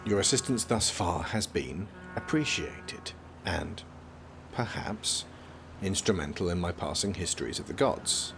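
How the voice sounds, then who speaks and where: Casting: reserved, exasperated young prince, very low pitch, clipped formal delivery, audio drama, clear noise behind. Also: male voice, animated, measured pace. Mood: reluctant, stiff.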